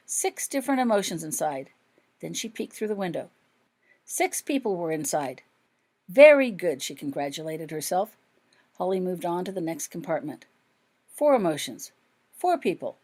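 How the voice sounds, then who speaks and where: A woman's voice: fairly low pitch, a little mellow. A woman reading her own fiction aloud as scripted audiobook narration.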